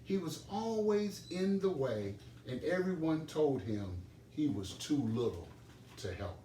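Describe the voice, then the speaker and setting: A man reading a children's storybook aloud as an expressive storyteller. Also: fairly high pitch, measured pace. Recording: roomy, distant microphone, background noise.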